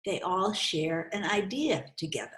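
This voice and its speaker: A woman hosting a children's storytime, speaking deliberately and instructively as she reads and explains, often repeating phrases for young listeners. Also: lowish voice, lively intonation, fairly bright tone. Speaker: host reading children's books aloud. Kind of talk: storytime read-aloud with commentary to children. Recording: roomy, echoey sound.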